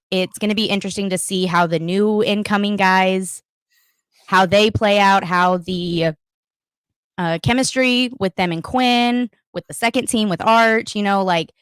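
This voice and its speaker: A woman's voice: medium pitch, clear, resonant tone, dry recording. Hyped woman hosting a sports show. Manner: upbeat, hyped, quick to laugh. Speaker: podcast co-host. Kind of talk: casual sports talk show.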